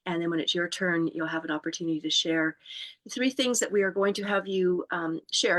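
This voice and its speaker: A woman co-hosting an online circle who explains the format calmly and without hurry, with many ums. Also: fairly low pitch. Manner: calm, unhurried, many ums. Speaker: co-host. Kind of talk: guiding group instructions.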